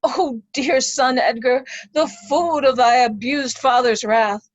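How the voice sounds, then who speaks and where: A woman playing a despairing, blinded old nobleman, grave, halting and lamenting, in a Shakespeare reading. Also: high voice, no echo, lo-fi sound. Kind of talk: remote readers' theatre Shakespeare performance.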